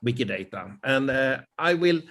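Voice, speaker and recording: An older man with a Swedish accent giving an informal talk over a video call, pausing often with fillers. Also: mid-range voice, animated, fairly bright tone. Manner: hesitant, many fillers. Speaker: conference presenter. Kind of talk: informal presentation.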